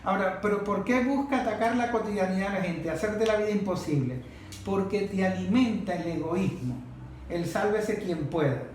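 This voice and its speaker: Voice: mid-range voice, normal speed, a little mellow. A Venezuelan speaker leading a group discussion in an emphatic, didactic political style, repeating phrases for emphasis. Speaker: discussion leader. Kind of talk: impassioned political talk to a group. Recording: very echoey room, faint noise.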